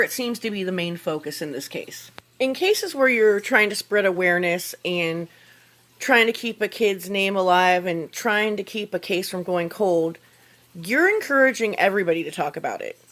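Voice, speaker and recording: Lower-pitched American woman giving commentary. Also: a bit bright. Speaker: commentary video creator. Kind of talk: opinion commentary narration.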